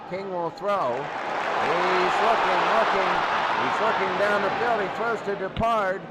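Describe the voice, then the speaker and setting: High-pitched male football announcer over roaring crowd. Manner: short repeated phrases, building excitement as plays unfold. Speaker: play-by-play announcer. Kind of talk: excitable American football radio-style commentary. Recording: loud crowd roar, heavy background noise.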